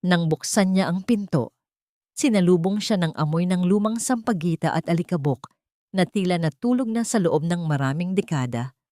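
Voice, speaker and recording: A woman reads a scripted dramatic story as its narrator. Her voice is on the lower side for a woman, and the recording is dry with very little room sound. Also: expressive, moderate pace, mellow, no echo.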